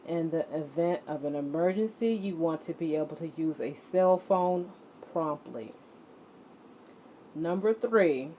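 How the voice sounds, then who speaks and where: A woman teaching children as an exercise leader, speaking deliberately and emphatically, addressing her students directly. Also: fairly low pitch, warm tone. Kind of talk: instructional lesson to children, read-along with warm-up exercises. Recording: muffled audio with clear background noise.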